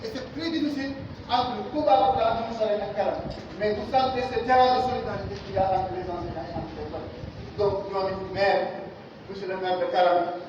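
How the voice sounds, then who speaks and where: A Senegalese man delivers a formal address of thanks in a very high-pitched voice. He repeats phrases for emphasis as he addresses a forum. The sound is heavily reverberant and picked up far from the microphone. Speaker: education official giving a speech of thanks at a forum. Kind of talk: public thank-you address at a community forum, switching between two languages. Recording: very echoey hall, far mic, dull and noisy.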